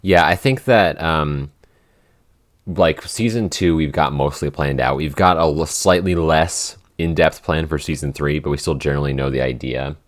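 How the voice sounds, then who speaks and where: A man with an extremely deep voice chats as a podcast co-creator. His delivery is informal and unscripted, with loose phrasing as he works out his answers aloud. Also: normal speed. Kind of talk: casual unscripted behind-the-scenes chat.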